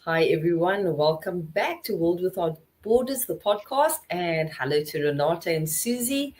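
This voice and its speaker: A South African woman hosts a relaxed podcast chat. Her voice sits a little low, and she asks chatty follow-up questions over a video call. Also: normal speed, a little hiss or hum. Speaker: podcast host.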